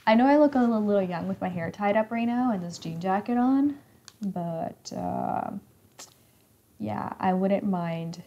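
Slightly raspy woman in her twenties. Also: fairly low pitch, warm tone, highs cut off. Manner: chatty, teasing, laughs often. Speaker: live stream host. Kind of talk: casual live stream chat reading comments. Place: indoor room with leather couch.

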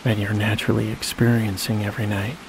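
A man leading a guided sleep meditation in a slow, soothing manner, leaving long pauses between phrases. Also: on the low side, gravelly. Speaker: hypnosis guide. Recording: steady rain-like noise behind voice.

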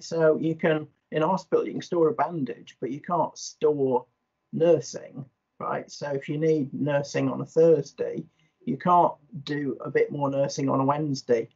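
Husky guy w lots of vocal fry, academic musing on a dull video call. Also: fairly high pitch, fairly even intonation, unhurried, darkish tone. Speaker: energy researcher, seminar participant. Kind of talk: reflective academic discussion.

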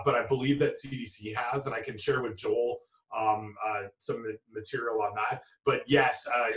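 A man's voice: mid-range voice, a bit bright. Hesitant man on a roomy video call. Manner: halting, many restarts and fillers. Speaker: food safety expert answering questions. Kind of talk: live webinar Q&A. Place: home office with bookshelves. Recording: roomy video call audio, distant mic.

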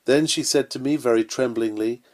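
A man's voice: mid-range voice, fairly quick, darkish tone. Male sermon reader, mid-range voice, earnest oratorical delivery of old-fashioned scripted text. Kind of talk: reading an old sermon aloud.

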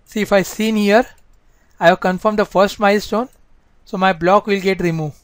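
A woman with a smooth, clear voice works as a software instructor. She explains procedures step by step in a training lecture, at a middling pitch. The sound is dry and close. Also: steady tone, normal speed, no echo.

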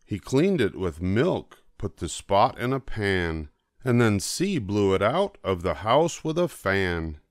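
An older man reading a rhyming children's story aloud as an expressive narrator. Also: fairly low pitch, takes it slowly, dry recording, studio quality. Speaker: storybook reader.

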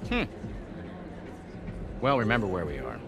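A man's voice: fairly low pitch, huge pitch swings, a little slow, creaky, a loud crowd, full character voice. A man playing a smug, threatening wealthy young American treasure hunter in a video game cutscene, with a sneering delivery. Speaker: game character voice actor. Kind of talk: video game cinematic acting. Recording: orchestral music behind.

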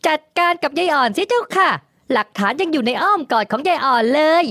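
Guy putting on a squeaky bossy young woman, swoops up and down, snooty and mean, dry close sound. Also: expressive, a little fast, bright, forceful tone, no echo, full character voice. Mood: wheedling. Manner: shrill scolding, sugary toward master. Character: haughty scheming young woman servant-wife, spiteful.

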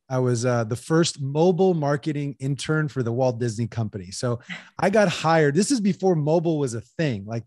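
A man's voice: medium pitch, no echo. Casting: thirties male guest, loose filler-heavy rambling, dry close-miked studio sound. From United States. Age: 30s. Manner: rambling, filler-heavy, animated hand gestures. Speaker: guest entrepreneur. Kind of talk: casual interview talk. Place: small room indoors. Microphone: headset with boom studio mic.

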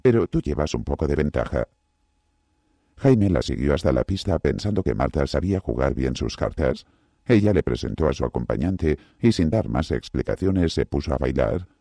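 A man narrating a novel as an audiobook, with an expressive delivery. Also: normal speed, no echo, studio quality. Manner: very deep, gravelly and expressive. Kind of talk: scripted narration.

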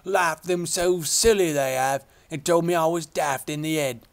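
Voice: medium pitch, measured pace, gravelly, pitched above the performer's own voice. A reader voicing a tearful, simple old male shepherd who speaks in a rustic country dialect. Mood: mournful.

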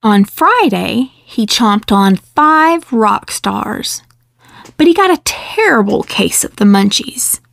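A woman reading a picture book aloud in a measured, expressive storytelling delivery. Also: medium pitch, gravelly, dry recording, studio quality. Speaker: children's book reader. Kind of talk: scripted storybook read-aloud.

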